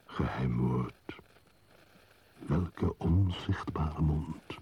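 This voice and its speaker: An actor playing a solitary, brooding male wanderer who narrates in a solemn, measured, poetic radio play style. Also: very deep voice, warm tone, slightly rough, full character voice.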